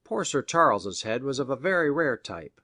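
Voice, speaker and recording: A man's voice: medium pitch, big pitch swings, normal speed, bright, forceful tone, dry recording, heavily acted. A man playing a learned country doctor in a storybook, with a formal, pedantic manner. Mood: learned, enthusiastic.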